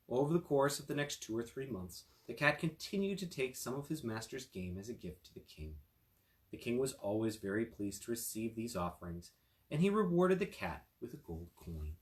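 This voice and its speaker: A man reading a fairy tale aloud as a storyteller, with casual asides to his listeners. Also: mid-range voice. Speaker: storyteller, attorney.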